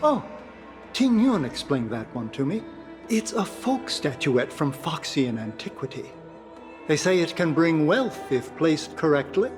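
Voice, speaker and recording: A young male merchant from a video game, with a fairly high voice and music playing behind him. He is chatty and wistful, rambling along in a halting, heartfelt way. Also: very animated. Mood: explaining. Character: grieving young man, friendly, chatty merchant guild rival.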